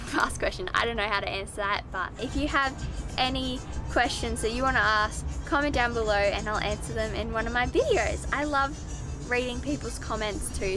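A teenage young woman with a high voice talks casually to camera as a vlogger. Her phrasing is informal and wanders a little. She is outdoors on a boat, with music behind her. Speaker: sailing vlogger. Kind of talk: casual vlog chat to camera. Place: outdoors on a sailboat deck at sea.

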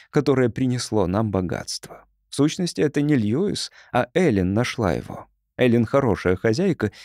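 A man reading an audiobook story as narrator, in an expressive scripted style. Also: mid-range voice, no room sound, studio quality.

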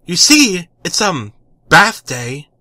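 A man's voice: high-pitched, sing-song, slow, bright, forceful tone, dry recording, voice pushed up, heavily acted. A man voicing a timid, exasperated male superhero sidekick, stammering and apologetic, in a comic story reading. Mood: nervous, apologetic.

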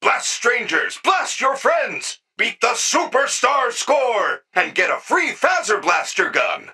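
A man voicing a gentle, earnest animatronic bear in a video game, speaking formally and carefully with few contractions. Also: very high-pitched, big pitch swings, slow, slightly rough, distant mic, full character voice. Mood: booming announcer pitch.

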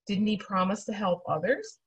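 A woman voicing a curious, warm-hearted old grandfather in a read-aloud storybook. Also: mid-range voice, moderate pace, breathy edge, lightly acted. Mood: gentle. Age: older.

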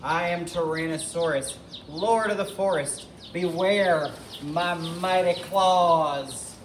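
A woman voicing a menacing, boastful tyrannosaurus with drawn-out, grandiose speech for a children's storybook. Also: fairly low pitch. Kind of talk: children's storybook read-aloud. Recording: echoey, noisy, with birdsong.

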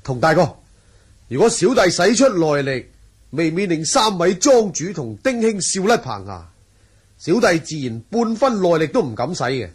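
Courteous, quick-witted swordsman, Hong Kong Cantonese radio drama. Very husky, expressive, self-effacing. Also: a little high. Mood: musing, modest. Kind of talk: 1980 radio drama acting.

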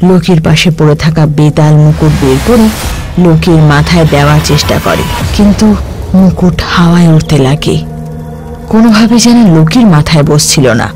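A woman giving loud, animated scripted narration for a cartoon story. Loud music plays behind her. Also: low-pitched, moderate pace.

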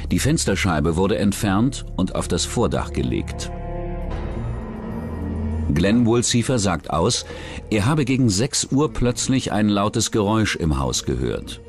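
A man narrating a scripted true-crime documentary in a measured, matter-of-fact manner. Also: lowish voice, very animated. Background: background music.

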